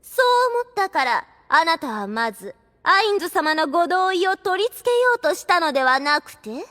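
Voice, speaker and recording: A woman's voice: very high-pitched, very animated, normal speed, fairly bright tone, full character voice. A woman playing a haughty, jealous vampire girl in an anime audio drama, with quaint courtesan turns of phrase and a theatrical, accusing manner. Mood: accusing, indignant.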